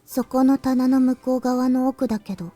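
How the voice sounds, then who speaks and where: Soft mellow high schoolgirl. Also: little pitch movement, quick pace. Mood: matter-of-fact. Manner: matter-of-fact, understated. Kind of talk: Japanese visual novel voice acting. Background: game music.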